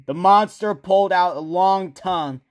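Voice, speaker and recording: A man narrating a scripted horror story with a dramatic, drawn-out delivery. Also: high-pitched.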